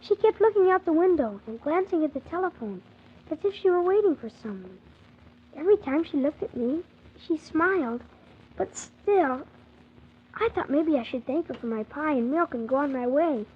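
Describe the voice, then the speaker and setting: A woman's voice: high voice, lively intonation, normal speed, slight background noise. A woman playing an earnest, polite young orphan boy who tells his story wide-eyed in a 1940s children's radio drama. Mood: wide-eyed narrating. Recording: thin, phone-like 1940s radio recording.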